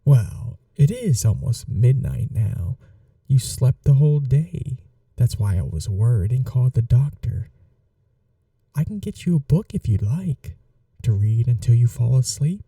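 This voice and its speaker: Man acting a very soft, dark-voiced gentleman vampire. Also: mid-range voice, very animated, dry recording, distant mic. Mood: soft, considerate. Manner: unhurried, polite, soothing, slightly formal. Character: courteous, caring gentleman vampire host, gently old-fashioned. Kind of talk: intimate ASMR roleplay.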